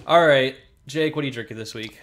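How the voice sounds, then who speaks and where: A man's voice: medium pitch, a bit bright. A young man co-hosting a casual podcast over beers, congested from a sinus infection, laid-back and laughing often. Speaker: podcast co-host.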